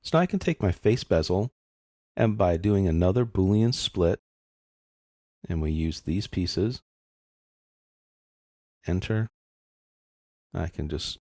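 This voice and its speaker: A man narrating a software tutorial in a casual, methodical way, explaining each step as he goes. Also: sits low, no room sound, studio quality. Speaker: software instructor.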